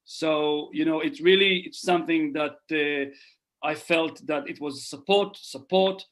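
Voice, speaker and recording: A man's voice: a little high, fairly even intonation, unhurried, fairly bright tone. A middle-aged man from Israel, speaking earnestly as a podcast guest and often repeating phrases as he thinks. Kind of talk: casual podcast conversation.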